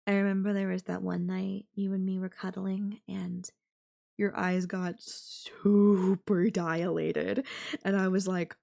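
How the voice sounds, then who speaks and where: A woman playing a possessive, doting young yandere girlfriend in an intimate audio roleplay, speaking coyly and affectionately with lingering pauses. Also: mid-range voice, level tone, vocal fry, warm tone. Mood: doting, wistful.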